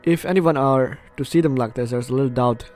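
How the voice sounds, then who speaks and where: A man's voice: medium pitch, a little fast, a little mellow. A man hosting a let's play, reading a visual novel aloud and breaking off into chatty, reactive asides. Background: faint game music.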